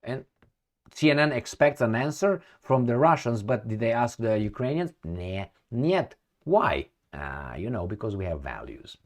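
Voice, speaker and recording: A man's voice: mid-range voice, big pitch swings, unhurried. A middle-aged man from Romania with a Romanian accent, ranting as a political commentator, sarcastic and profane and full of rhetorical questions.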